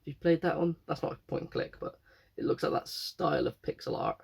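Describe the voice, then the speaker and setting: A man's voice: a little high, huge pitch swings, fairly quick, creaky, husky. A young man with a cold, chatting casually and rambling about his latest finds, often correcting himself. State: croaky with a cold. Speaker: game collector vlogger. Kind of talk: casual chat.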